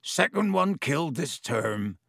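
Guy doing a huge gruff friendly gamekeeper, booming and hearty, raised pitch, audiobook, dry sound. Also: sing-song, a little slow, a bit bright, dry recording, exaggerated voice. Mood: matter-of-fact. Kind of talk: audiobook character voice.

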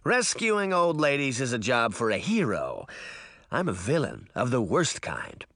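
A man's voice: medium pitch, huge pitch swings, a little slow, a bit bright, no room sound, clean studio sound, full character voice. A man voicing a boastful, indignant fairy trickster boy in a theatrical, crowing way for an audiobook. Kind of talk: audiobook character voice.

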